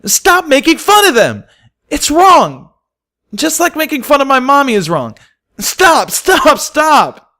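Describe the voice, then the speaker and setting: High-pitched distraught little filly. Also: male voice, huge pitch swings, slow, bright, forceful tone, heavily acted. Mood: shrieking, upset. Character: distraught little unicorn filly, sobbing and pleading.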